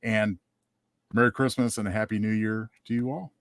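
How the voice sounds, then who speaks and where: A man co-hosting a casual chat show, speaking in a measured, polite way. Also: fairly low pitch, steady tone, dry recording, clean studio sound. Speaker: show co-host.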